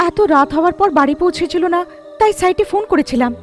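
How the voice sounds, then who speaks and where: A woman acts out an anxious young wife in a cartoon ghost tale. Her voice is very high and earnest, with soft music behind it. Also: fast talker. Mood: worried. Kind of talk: animated horror story dialogue.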